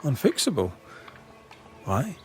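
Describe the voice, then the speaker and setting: A man's voice: fairly high pitch, huge pitch swings, slow pace, faint music behind, heavily acted. A man voicing a blunt, seasoned ghost hunter with a Scottish accent and a dry, probing manner in a video game. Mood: probing, calm. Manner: dry, probing, plain-spoken.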